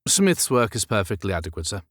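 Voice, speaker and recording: A man's voice: lowish voice, sing-song, brisk, no room sound, clean studio sound. A man voicing a dutiful, weary young Victorian clerk in an audiobook, terse, reserved and deferential. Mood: subdued, deferential.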